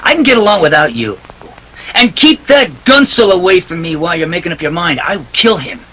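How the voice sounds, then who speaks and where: A man's voice: high-pitched, sing-song, a little fast, a bit bright, exaggerated voice, low-quality recording. A man playing a tough, wisecracking private detective in a 1940s-style radio drama, clipped, sardonic and impatient. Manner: clipped, hard-boiled, sardonic.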